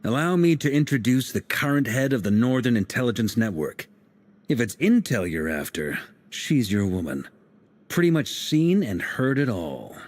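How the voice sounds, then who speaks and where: An actor voicing a charming, sly adult male knight in a video game, speaking in a smooth, playful, persuasive way. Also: mid-range voice, very animated, normal speed, lightly acted. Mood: scheming.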